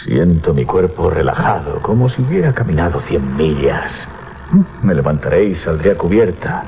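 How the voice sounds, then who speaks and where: Capitán de barco teatral y muy expresivo. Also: male voice, low-pitched, expressive, normal speed, vocal fry, slight background noise. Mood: weary. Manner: theatrical, talks aloud to himself. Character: gruff mocking sea captain, greedy, sliding into terror. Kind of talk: radio horror drama acting. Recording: dull 1980s radio recording.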